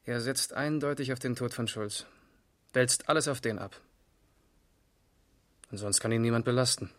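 A man acting a methodical East German police investigator in a radio play. He speaks in a medium-pitched voice, controlled and precise, sober and matter-of-fact. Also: normal speed, close to an everyday voice. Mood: sober, reporting. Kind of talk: 1970s German radio crime drama acting.

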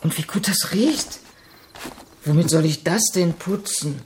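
A woman's voice: on the low side, measured pace, darkish tone, slight background noise, lightly acted. An actress playing a blunt, cheeky maidservant who banters familiarly with her master in a radio play. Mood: curious. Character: outspoken, cheeky maidservant of a miser. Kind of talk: German radio play acting.

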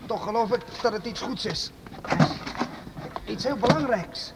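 A man's voice: very high-pitched, measured pace. An actor playing an earnest, loyal young man in an old radio drama. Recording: old radio play recording with hiss.

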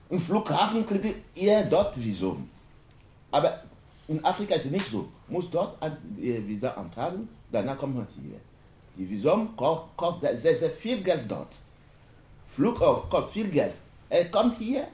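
A man from Africa, speaking halting, broken German with many restarts as a panel speaker at a university event. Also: fairly high pitch, mellow. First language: not German. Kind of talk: panel discussion talk. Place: university lecture hall. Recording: roomy hall echo.

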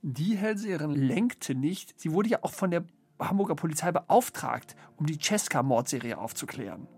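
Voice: medium pitch, animated, normal speed, darkish tone, slightly rough, soft background music. An actor playing a sober, questioning observer in a literary radio play, phrasing with deliberation. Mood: grave, questioning. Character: sober, questioning observer reporting on social unrest.

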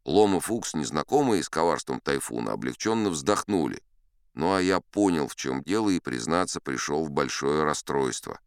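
An actor playing an old sea captain who spins tall tales in a dramatised audiobook, boastful and unflappable, with theatrical asides and dramatic pauses. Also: male voice, a bass voice, lively intonation, creaky, smooth, no room sound, studio quality, heavily acted. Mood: rueful, wry.

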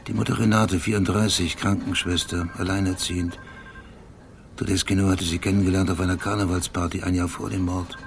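An actor playing an obsessive police detective in a German radio drama, recounting things in a measured, deliberate, terse way. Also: male voice, sits low, flat delivery, creaky, a little hiss or hum. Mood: grim, precise. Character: obsessive male police detective, precise brooding narrator haunted by memory.

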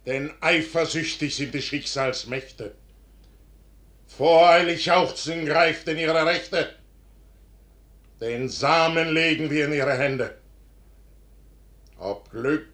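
An actor playing a proud, brooding army general in a classical verse radio drama, with gravelly, weighty, declamatory speech. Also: male voice, on the high side, a bit bright. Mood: resolute, grave.

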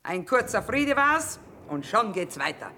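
Tough, animated wartime trader mother. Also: fairly high pitch, a little slow, slight background noise, heavily acted. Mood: brisk. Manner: commanding, no-nonsense. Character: tough, brisk mother trading from her wagon in wartime. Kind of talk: German radio play acting.

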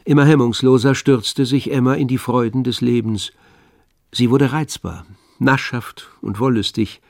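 Knarrender, distanzierter Erzähler. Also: male voice, medium pitch, steady tone, measured pace, creaky, a little mellow, no room sound, studio quality. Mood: detached, wry. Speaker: narrator. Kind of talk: scripted literary radio play narration.

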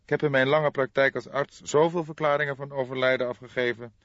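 A man's voice: mid-range voice, fairly even intonation, fairly quick, fairly bright tone, dry recording, lo-fi sound, voice pushed up, close to an everyday voice. A man voicing a haughty, evasive doctor in his late fifties for an audiobook, growling and hemming nonchalantly. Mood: dismissive. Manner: nonchalant, hesitant hemming and drawling.

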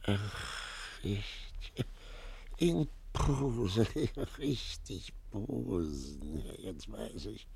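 An actor playing a confused, weakened old man in a radio play, with a husky, breathy, gravelly voice and halting, repetitive speech. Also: fairly low pitch, animated, darkish tone, heavily acted. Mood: feebly hopeful. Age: older. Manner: halting, repeating himself, muddled. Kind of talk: 1950s German radio play acting.

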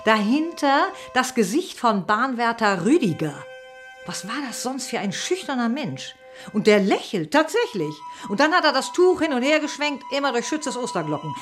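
A woman telling a story in an acted radio play monologue, animated and conversational. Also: fairly high pitch. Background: music.

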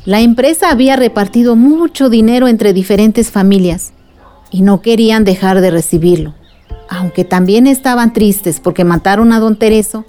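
A mellow-voiced woman played by an actress tells a story at a middle pitch. She explains patiently, in radio drama style, over faint music. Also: lightly acted. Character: patient female storyteller explaining events to a child.

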